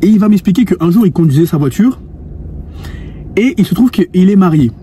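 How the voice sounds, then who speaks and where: Young man, coaching monologue, high voice, very dark and mellow tone, faint hiss behind. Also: animated, moderate pace. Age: 20s. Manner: earnest, preachy, spins a long anecdote with many restarts. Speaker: dating and lifestyle coach. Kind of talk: monologue addressed to the viewer.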